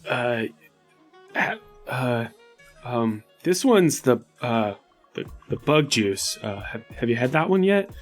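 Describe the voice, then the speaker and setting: A man's voice: mid-range voice, sing-song, takes it slowly, soft background music. A man voicing a nervous but generous hoarder in a tabletop game, muttering and stammering hesitantly. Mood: anxious, hesitant. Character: nervous, generous hoarder anxiously rationing his sodas.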